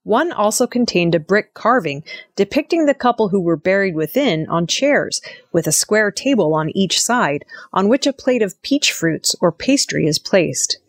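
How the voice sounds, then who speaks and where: A woman's voice: medium pitch, big pitch swings, moderate pace, vocal fry, no echo. Woman reading news in a dry recording. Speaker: news presenter. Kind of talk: scripted news narration.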